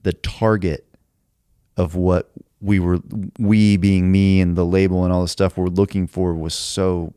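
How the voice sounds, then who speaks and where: A man who writes songs, talking in a relaxed podcast conversation, thinking aloud and reflecting at length with many filler words. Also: low-pitched, a little slow, clean tone, no echo, studio quality. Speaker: songwriter.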